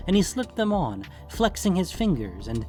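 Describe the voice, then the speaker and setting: A man narrating scripted fiction in a measured, deliberate storytelling manner. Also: high-pitched, very animated, warm tone, soft background music.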